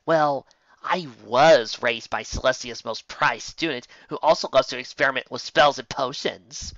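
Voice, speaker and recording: Narrator playing young male dragon, blunt, matter-of-fact, clever, bright voice, fan fiction storytelling. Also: medium pitch, a little slow, no echo, dull audio.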